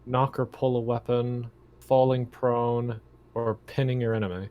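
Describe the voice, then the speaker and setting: A man with a medium-pitched voice takes part in a tabletop game as a player. He talks casually and thinks aloud, musing over rules and choices. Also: level tone, unhurried, narrow, tinny audio. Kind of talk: casual chat during an online game.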